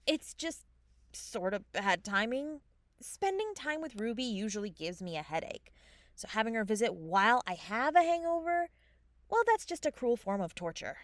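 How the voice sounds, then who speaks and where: A woman's voice: on the high side, expressive, moderate pace, no echo, lightly acted. A woman playing a sarcastic, exasperated young fairy in a mockumentary-style comedy audio drama, with dramatic, sardonic delivery. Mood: wry.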